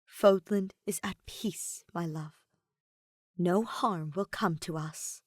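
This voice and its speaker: A woman voicing a gentle, quietly strong wife and mother, soft, tender and deliberate in an audio story. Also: on the low side, dry recording, studio quality. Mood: reassuring.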